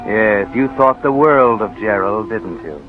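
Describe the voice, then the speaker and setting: A man's voice: fairly low pitch, lively intonation, a little slow. A man playing a sardonic, insinuating narrator in a 1940s radio mystery drama, addressing the hero directly. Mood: knowing, ominous. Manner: insinuating, rhetorical questions. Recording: tinny old radio recording over music.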